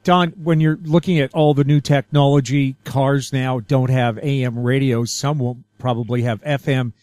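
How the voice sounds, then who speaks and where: A man's voice: medium pitch, steady tone, moderate pace, darkish tone, no room sound, clean studio sound. A man hosting a radio interview in a polished broadcast style. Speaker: radio show host, interviewer.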